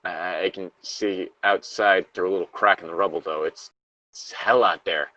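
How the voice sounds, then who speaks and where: A man reading a diary log as a trapped, dying factory worker, halting, weary and anguished. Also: low-pitched, steady tone, bright, forceful tone, muffled recording. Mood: horrified. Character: dying, despairing male factory worker trapped under rubble.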